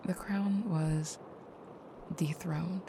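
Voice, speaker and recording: A woman playing a gentle, caring vampire girlfriend in an intimate audio roleplay, speaking softly and hesitantly, often near a whisper. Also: fairly low pitch, fairly even intonation, extremely slow, vocal fry, background noise, full character voice. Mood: hesitant, confiding.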